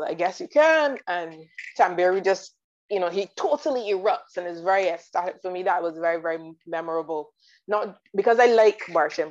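Lively woman from Barbados, lo-fi sound. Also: lowish voice. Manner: enthusiastic, detailed, laughs often. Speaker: guest commentator. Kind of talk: casual sports chat. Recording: dull lo-fi audio.

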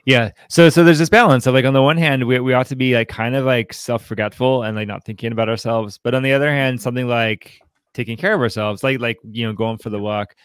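A man co-hosting a podcast in casual, reflective discussion, speaking haltingly and often repeating words as he restarts. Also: mid-range voice, fairly even intonation, clean tone, no echo, clean studio sound. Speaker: podcast co-host.

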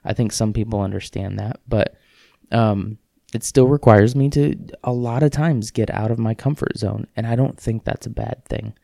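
A man with a low, mellow voice and a gravelly edge, talking through his thoughts on a solo podcast. He rambles in a relaxed, self-deprecating way, restarting his sentences, with an occasional sniffle. The sound is dry and close. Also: normal speed, no room sound. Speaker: podcast host. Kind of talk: casual solo podcast monologue.

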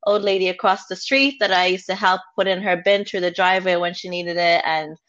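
A woman's voice: on the low side, fairly even intonation, a little fast, fairly bright tone. An Irish woman speaking as a podcast guest, talking earnestly and thinking aloud with frequent restarts. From Ireland. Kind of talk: reflective personal conversation. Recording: dull lo-fi sound.

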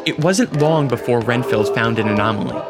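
A man's voice: mid-range voice, moderate pace, over loud music. A man giving scripted narration for a radio drama, as the storyteller.

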